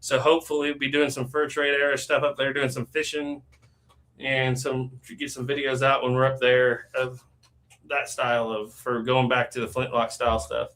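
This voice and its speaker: A man's voice: mid-range voice, flat delivery, moderate pace, a bit bright. A man talking as a guest on a casual live stream, chatty, with lots of fillers and easy laughs.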